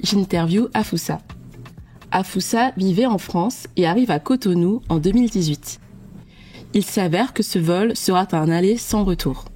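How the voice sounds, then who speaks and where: A woman's voice: mid-range voice, dark tone, slightly rough. A woman in her thirties hosting a podcast, reading a scripted intro and then interviewing her guest in a friendly, enthusiastic way.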